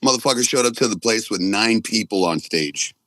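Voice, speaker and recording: A man in his forties telling stories casually as a podcast guest, rambling and halting, with plenty of swearing. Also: fairly low pitch, bright, forceful tone, dry recording. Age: 40s. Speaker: podcast guest, punk band drummer.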